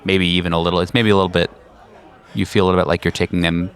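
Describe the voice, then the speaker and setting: A man's voice: low-pitched, little pitch movement, rapid-fire, clean tone, some chatter behind. A man narrating a tabletop horror game as its game master and host.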